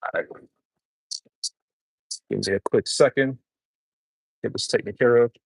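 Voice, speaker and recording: A man's voice: mid-range voice, unhurried, creaky, husky, no echo, poor audio. Easygoing New man cooking live. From New York City, Brooklyn. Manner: easygoing, chatty, answers viewer comments while cooking. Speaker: home cook livestreaming. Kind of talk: casual livestream cooking chat.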